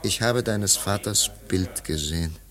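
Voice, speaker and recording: An actor in a German radio play voicing a disillusioned, wistful male artist who talks in a theatrical, halting way. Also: low voice, fairly bright tone. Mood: nostalgic, reverent.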